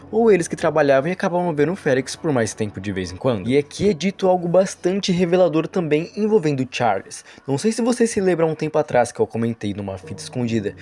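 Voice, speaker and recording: Brazilian male narrator, slightly high voice, explanatory storytelling voice-over over background music. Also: a little mellow. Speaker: video essay narrator.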